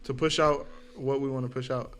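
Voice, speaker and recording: A man's voice: mid-range voice, little pitch movement, brisk, a bit bright, slight background noise. A young man of about twenty co-hosting a podcast in an informal, slangy, conversational way. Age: 20s. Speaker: podcast co-host. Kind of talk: casual chat.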